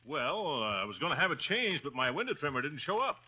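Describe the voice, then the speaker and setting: An actor playing a hesitant, put-upon shopkeeper in a 1930s radio comedy. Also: male voice, a little high, sing-song, a little fast, pushed and bright, breathy edge, full character voice. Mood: puzzled, defensive. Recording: muffled 1930s radio recording.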